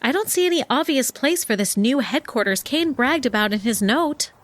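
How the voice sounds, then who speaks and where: An actress playing a sensible, cautious heroine in a comedy audio drama in 1930s serial style, with crisp, earnest old-time diction. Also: female voice, high-pitched, expressive, moderate pace, no echo. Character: brisk capable female heroine, sensible and cautious.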